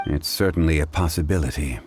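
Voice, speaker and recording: Casting: composed scholarly gentleman for a video game, deep register, formal delivery, soft music behind. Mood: calm, analytical. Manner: measured, formal, calm. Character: composed, dignified, learned gentleman, wise and ancient.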